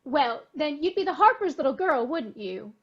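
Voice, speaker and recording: A woman playing a persistent, smooth-talking newspaper reporter in an old-time radio play. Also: high-pitched, animated, normal speed, highs cut off. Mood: slick. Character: pushy, persistent newspaper reporter badgering a household.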